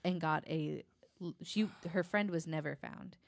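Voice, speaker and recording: A woman with a low-pitched voice tells a story as a podcast guest. Her delivery is conversational and a little hesitant, with pauses as she picks up the thread. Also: vocal fry. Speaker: podcast guest telling a true-crime case.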